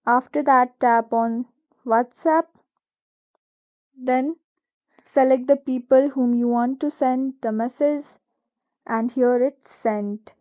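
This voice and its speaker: A woman with a high voice narrates a how-to guide as its host. She speaks slowly in an instructional, step-by-step manner, reading from a script. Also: steady tone, dark tone. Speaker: tutorial host.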